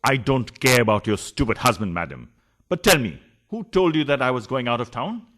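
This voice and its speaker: A flustered philandering husband in a radio farce. His voice swings widely in pitch as he stammers, pleads and protests with theatrical indignation. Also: big pitch swings, fairly quick, fairly bright tone. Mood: indignant. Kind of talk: farcical radio play acting.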